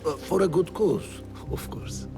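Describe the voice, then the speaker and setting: An actor playing a devout, fond old rebel merchant with a gravelly voice in a video game. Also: male voice, fairly high pitch, a little slow, over music. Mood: fond, teasing. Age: older. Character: devout, nagging old rebel merchant, warm-hearted and grieving. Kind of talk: video game cutscene acting.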